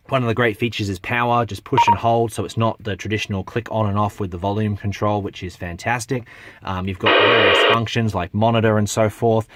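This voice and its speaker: Low-voiced man, casual product reviewer. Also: heavy background noise. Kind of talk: casual unscripted review to camera.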